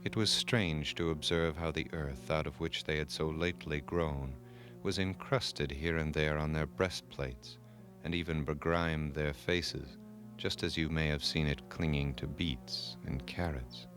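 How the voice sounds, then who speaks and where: A man narrating a scripted myth for children in a formal, measured, dramatic storytelling manner. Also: extremely low pitch, steady tone, fairly bright tone, faint music behind.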